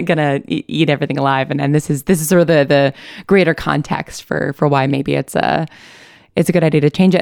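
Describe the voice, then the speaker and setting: A woman's voice: sits low, a little mellow, no echo, studio quality. A woman talking casually and informally as an interviewed writer, chatty and given to laughing lightly as she speaks. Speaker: writer and co-producer being interviewed.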